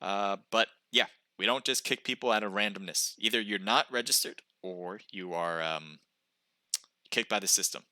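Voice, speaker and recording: A man casting live mobile esports matches, calling fights with quick excitement and chatting with viewers between games. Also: fairly low pitch, no room sound, studio quality. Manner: excited calls during action, chatty when reading chat. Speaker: esports caster and stream host. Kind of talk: live play-by-play commentary.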